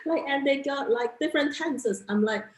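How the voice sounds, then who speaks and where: Lady asking questions, highish voice, expressive and giggly, casual chat. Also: moderate pace, reverberant. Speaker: interviewer, travel vlogger.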